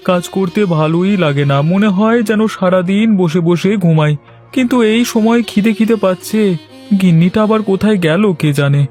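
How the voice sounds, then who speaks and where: A man acting a high-voiced, grumbling lazy husband. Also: moderate pace, darkish tone, faint music behind, lightly acted. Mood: idle grumbling. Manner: whining, protesting. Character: lazy, poor, grumbling village husband, a boastful idler. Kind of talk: Bengali cartoon dialogue dubbing.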